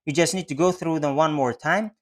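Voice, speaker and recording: Male tutorial presenter, fairly high register, methodical explanatory delivery for screen-share walkthroughs. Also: a little fast. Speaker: online advertising tutorial presenter. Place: voice-over on a screen recording.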